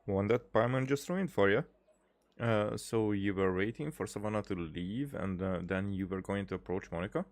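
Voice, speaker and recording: A man's voice: lowish voice, moderate pace. A man giving casual let's-play commentary with offhand, muttered reactions as a gaming streamer.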